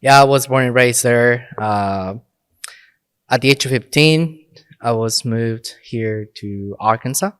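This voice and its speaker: A man's voice: medium pitch, takes it slowly, fairly bright tone, clean tone, no echo. A young adult man from Mexico, a priest and podcast guest, who speaks English as an in short phrases and laughs often. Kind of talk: casual interview talk.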